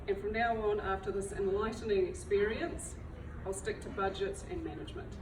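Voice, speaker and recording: A woman's voice: mid-range voice, steady tone, a little fast. Woman, chatty and self-deprecating, speaking at a library talk, miked from a distance in a noisy room. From New Zealand. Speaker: library director giving a talk. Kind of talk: public talk to an audience. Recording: roomy, far from the mic, noisy.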